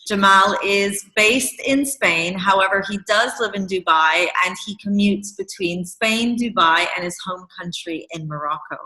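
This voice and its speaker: Bright-voiced woman host introducing a guest. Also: mid-range voice, unhurried, few pauses. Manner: enthusiastic, scripted. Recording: echoey room.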